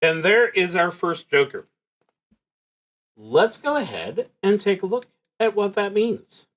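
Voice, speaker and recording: A man's voice: high-pitched, sing-song, slow pace, fairly bright tone. A man live-streaming a solo tabletop roleplaying game, reading prompts and thinking aloud as he plays.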